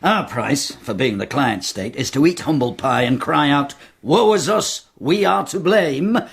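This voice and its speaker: An actor playing a cynical, powerful Roman kingmaker in a radio drama, wry, theatrical and assured. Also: male voice, fairly high pitch, expressive, measured pace, vocal fry, slightly rough, heavily acted.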